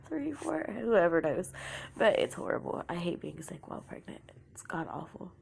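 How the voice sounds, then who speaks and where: A woman's voice: fairly low pitch, big pitch swings, normal speed, creaky, breathy edge. A young woman with a sore throat from a cold, telling her own story in a casual, confessional way and often trailing off into a whisper. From Oklahoma, United States.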